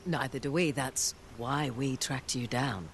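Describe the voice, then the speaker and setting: A woman's voice: rumbling low, a little slow, slight background noise. An actress playing a sly, confident, flirtatious getaway driver in her thirties, cool and teasing, in a video game. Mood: cool, knowing. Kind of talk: cinematic video game acting. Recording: music under the dialogue. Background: background music.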